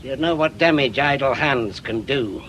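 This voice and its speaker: A man's voice: mid-range voice, normal speed, fairly bright tone, close to an everyday voice. A man playing an older retired policeman in a radio drama, vengeful, self-important and emphatic, on a noisy recording. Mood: bitter, determined.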